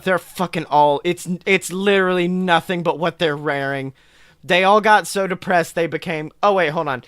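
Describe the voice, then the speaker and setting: A man's voice: a little high, fairly bright tone, smooth, no room sound, studio quality. A man hosting a podcast, reading fan fiction casually and theatrically with sweary, irreverent asides. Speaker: podcast host.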